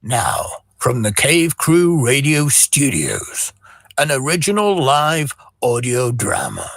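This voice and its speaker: A man's voice: mid-range voice, huge pitch swings, slow pace, creaky, a bit bright, dry recording, studio quality. A man announcing an audio drama with a scripted introduction.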